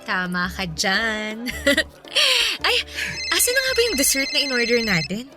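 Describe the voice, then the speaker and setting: A woman's voice: fairly high pitch, huge pitch swings, measured pace, over loud music. A woman acting a spendthrift, playful young girlfriend who gets testy when questioned, in a Filipino radio drama with a Filipino accent. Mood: teasing, affectionate.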